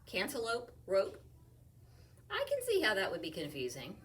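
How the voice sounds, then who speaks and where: A woman teacher talks her class through a picture book in a slow, playful and expressive way, sounding out rhyming words. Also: medium pitch, recorded from a distance, a little hiss or hum. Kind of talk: read-aloud story discussion for young children.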